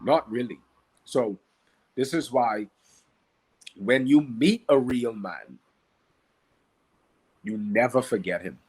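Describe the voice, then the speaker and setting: A man from the Bahamas with a Bahamian accent and a slightly husky voice, teaching conversationally and emphatically, repeating key phrases and asking rhetorical questions. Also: medium pitch, takes it slowly, pauses often, a little mellow, low-quality recording. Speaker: podcast guest, Qigong practitioner and teacher.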